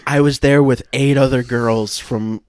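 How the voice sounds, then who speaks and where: Slow, halting man improvising comedy. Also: medium pitch, steady tone, darkish tone, no echo. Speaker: improv podcast performer. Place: room at home, indoors.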